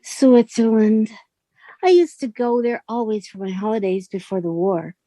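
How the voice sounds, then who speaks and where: A woman playing a mellow, lonely bookshop lady. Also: fairly high pitch, normal speed, no room sound, close to an everyday voice. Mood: wistful, tender. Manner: soft-spoken, earnest, flattering. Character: gentle, lonely bookshop lady, shyly admiring and wistful. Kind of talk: radio suspense drama acting.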